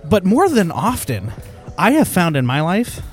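A man with a slightly high voice co-hosts a podcast and chats casually, rambling and laughing often. Faint music plays behind him. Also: huge pitch swings, a little slow. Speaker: podcast co-host. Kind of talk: casual chat.

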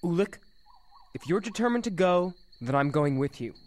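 An actor playing a loyal, worried younger brother, earnest and pleading, in an audio drama. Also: male voice, sits high, animated, normal speed, a little mellow.

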